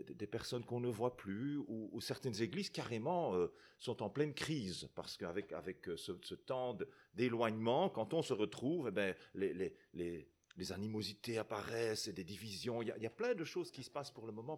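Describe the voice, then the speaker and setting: A man's voice: mid-range voice, darkish tone. A middle-aged man from Belgium with a Belgian French accent, preaching to a congregation in a chatty way, often tagging his sentences with questions and restarting them. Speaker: guest preacher. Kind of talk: sermon to a congregation.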